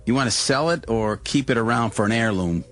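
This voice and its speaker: An actor playing an easygoing, wisecracking American car-lot assistant in a radio drama, with a casual, folksy way of talking. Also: male voice, mid-range voice, brisk, fairly bright tone, faint music behind, lightly acted. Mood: wry.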